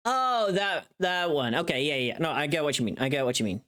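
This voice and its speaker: Streamer, middle pitch, casual chat, muttering to self, repetitive phrasing, live working session. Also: very animated, brisk, a bit bright. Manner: muttering to self, repeating words.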